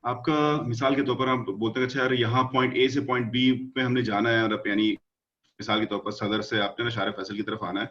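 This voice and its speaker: A man's voice: medium pitch, fairly even intonation, a little fast, fairly bright tone, muffled recording. A man explaining animation with everyday examples, full of casual fillers. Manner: talkative, fillers like "matlab" and "achha yaar".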